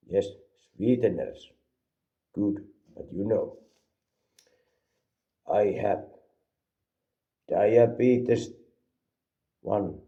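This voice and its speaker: A man from Finland with a Finnish accent, rambling deadpan through an absurd comedic product review and mixing languages. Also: low voice, lo-fi sound. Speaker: vlogger reviewing an energy drink.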